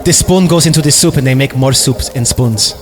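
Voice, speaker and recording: A man's voice: medium pitch, brisk. A man riffing as a podcast guest in bawdy, mock-earnest comic banter.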